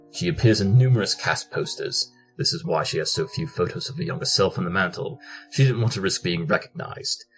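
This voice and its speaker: Man playing a deep, pompous pony detective. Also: low-quality recording. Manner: formal, precise, lecturing. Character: male pony detective, pompous, analytical, verbose, curt. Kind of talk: audio drama acting, deductive exposition.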